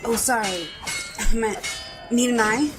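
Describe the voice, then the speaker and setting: A woman's voice: a little high, measured pace, natural voice, poor audio. A woman playing an informal, joking young male fighter in an audio drama. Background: loud music.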